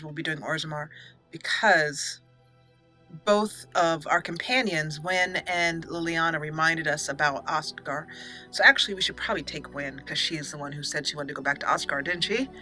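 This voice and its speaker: A woman's voice: on the low side, pushed and bright. A woman giving casual, playful let's play commentary, chatting to herself and to the game as she goes. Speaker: gaming video host.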